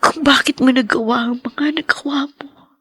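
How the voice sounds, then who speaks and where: A woman's voice: high voice, fairly even intonation, normal speed, slightly rough, dry recording. An actress playing a young woman named Danny who cries and whispers, mourning her late mother in a hospital room. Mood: grieving. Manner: soft tearful whispering. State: crying. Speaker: lead character. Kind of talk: dubbed TV drama acting.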